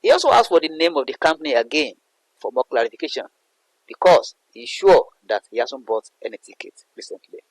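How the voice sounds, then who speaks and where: A man's voice: on the high side, very animated, fairly quick, breathy edge, no echo, rough recording. A man explaining a poem as a literature teacher, in a didactic way that repeats and rephrases points. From Nigeria. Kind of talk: explanatory poetry analysis lesson.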